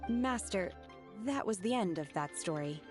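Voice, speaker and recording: A woman voicing a calm, reserved young female disciple in a game cutscene, formal and polite, addressing her teacher as Master. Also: mid-range voice, a little slow, over music, close to an everyday voice. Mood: gentle.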